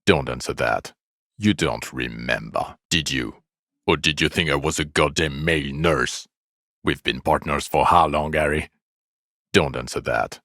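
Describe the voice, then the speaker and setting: Very deep, gravelly, bitter police detective. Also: male voice, pushed and bright, no echo, studio quality, heavily acted. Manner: sardonic, contemptuous, weary scolding. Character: bitter, exasperated, foul-mouthed male police detective, scathingly sarcastic. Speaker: video game voice actor. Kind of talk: video game character dialogue.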